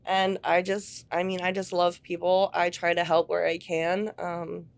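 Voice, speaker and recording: A woman from a ministry group, speaking in a heartfelt, halting way during a casual interview. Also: on the low side, monotone, vocal fry. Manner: heartfelt, halting speech with fillers. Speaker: ministry volunteer.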